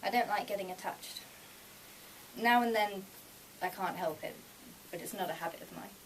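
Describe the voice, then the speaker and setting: A woman's voice: medium pitch, normal speed, close to an everyday voice. A woman voicing a casual, matter-of-fact man who is Death, in a live story reading. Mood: guarded. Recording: distant mic, noisy room ambience.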